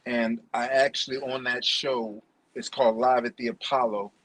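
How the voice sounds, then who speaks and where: A man from New Jersey, a poet guest telling his story deliberately and repeating phrases for emphasis. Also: mid-range voice, fairly even intonation, a bit bright. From New Jersey, United States. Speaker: podcast guest, spoken word poet. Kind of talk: personal storytelling in an interview.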